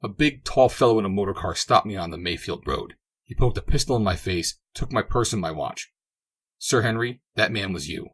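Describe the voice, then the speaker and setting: A man's voice: on the low side, animated, brisk, fairly bright tone, slightly rough. A man doing an indignant young man. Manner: indignant and emphatic. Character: angry young man confronting a friend.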